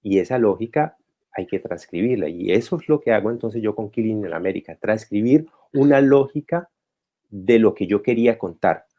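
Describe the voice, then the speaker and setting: A man from Colombia speaking as a guest writer, talking reflectively and pausing to restart his thoughts, recorded over a video call. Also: on the low side, lively intonation, dull audio. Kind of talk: conversational talk.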